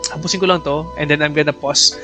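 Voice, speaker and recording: A Filipino-accented man hosts a casual game stream. His voice is slightly high for a man, and he chats freely, code-switching as he greets viewers. Faint game music plays underneath. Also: steady tone, fast. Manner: chatty, greets viewers by name. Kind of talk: casual live stream chat mixing English and Tagalog.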